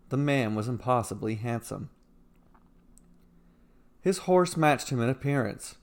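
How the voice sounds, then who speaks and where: Male narrator, mid-range pitch, scripted fantasy storytelling, dramatic, deliberate phrasing.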